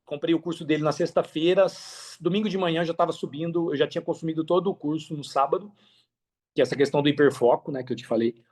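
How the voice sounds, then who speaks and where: Brazilian guy podcast guest, kinda high voice, talkative, slangy candid storytelling. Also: quick pace, darkish tone. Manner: talkative, peppers speech with tag questions and slang.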